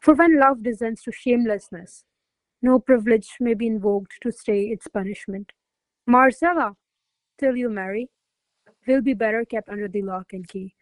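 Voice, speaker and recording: Woman as imperious aristocratic lady for a classical radio drama: higher voice, jealous, formal, close dry sound. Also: moderate pace, no room sound, close to an everyday voice, poor audio. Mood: stern, imperious. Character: haughty noble lady, jealous, commanding mistress. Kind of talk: stage-style radio play acting of classical verse.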